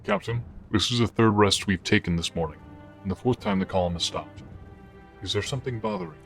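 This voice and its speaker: A man's voice: fairly low pitch, very animated, a little fast, creaky, soft background music. A man playing a towering, lordly immortal warrior commander in a fantasy audio drama, formal and patient. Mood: patient, questioning.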